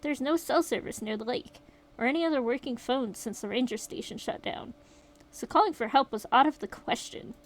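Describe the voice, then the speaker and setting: A woman voicing a shaken young survivor, reading the account aloud in a steady, rueful way. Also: high-pitched, fairly quick, close to an everyday voice. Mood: grim retelling. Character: shaken young survivor recounting a horror night.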